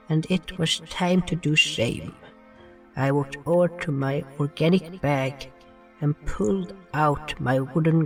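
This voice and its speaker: A sorrowful, gentle swamp creature tells a fantasy tale solemnly, voiced by a man. The delivery is very slow and creaky, sounding a bit distant over music. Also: a little high, full character voice. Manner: solemn, deliberate. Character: River, gentle sorrowful slimy-skinned one-eyed swamp creature narrator. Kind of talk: scripted first-person fantasy narration and dialogue.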